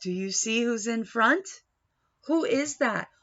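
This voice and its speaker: Expressive woman reading aloud, slow and high-pitched. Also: dull audio. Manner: animated, dramatic, engaging listeners with questions. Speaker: read-aloud storyteller. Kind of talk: expressive picture-book read-aloud with asides and questions to young listeners.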